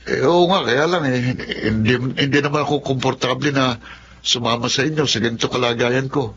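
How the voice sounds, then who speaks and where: A man's voice: mid-range voice, normal speed, a bit bright, natural voice. An actor playing an evasive young Filipino man in a naturalistic TV drama, with a hesitant, stammering way of talking. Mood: sheepish excuses. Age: young adult. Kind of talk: naturalistic television drama acting. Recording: dull audio with faint background noise.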